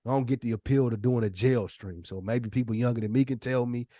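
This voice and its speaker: An American man of about forty hosts a podcast in an easy, slangy style. His voice sits at a medium pitch for a man, and the sound is dry, with very little room on it. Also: fairly even intonation, quick pace, dark tone, no room sound. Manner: slangy, conversational. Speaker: podcast host. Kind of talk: casual podcast talk.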